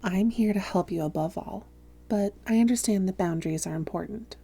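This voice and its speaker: A woman playing a calm female vampire therapist in a roleplay audio, speaking softly, gently and in a measured, reassuring way. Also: medium pitch. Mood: gentle, professional. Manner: measured, gentle, reassuring, breathy hushed phrases. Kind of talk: soft-spoken roleplay audio, therapist addressing listener.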